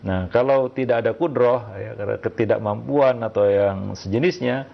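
A male religious teacher answering listener questions in a measured, hesitant way, often tagging his phrases with short fillers. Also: on the low side, vocal fry. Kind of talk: religious Q&A lecture. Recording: muffled lo-fi audio.

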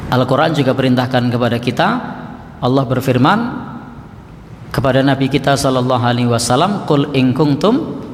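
A man giving an Islamic lecture in a relaxed, joking way with many pauses. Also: mid-range voice. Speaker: preacher. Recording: echoey hall recording, faint hiss.

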